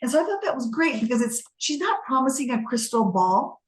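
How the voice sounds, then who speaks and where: Animated woman co-host, roomy sound. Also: sits high, a little hiss or hum. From Colombian American. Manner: animated, emphatic, quick bursts. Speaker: podcast co-host. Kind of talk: casual podcast chat. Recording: roomy echo.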